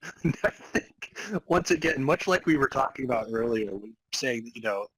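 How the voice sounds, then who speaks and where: A man's voice: medium pitch, animated, moderate pace, a bit bright, husky, low-quality recording. A man co-hosting a comedy podcast, reading aloud theatrically and chatting casually, often amused. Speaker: podcast co-host. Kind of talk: dramatic reading of fan fiction and casual banter.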